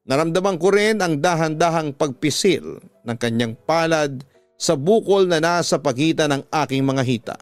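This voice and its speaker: A Filipino man with a slightly high voice tells a dramatic radio story in slow, emotive phrases. He is recorded close and dry, with music playing underneath. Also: no room sound. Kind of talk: dramatic scripted story narration. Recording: music bed behind a dry studio voice.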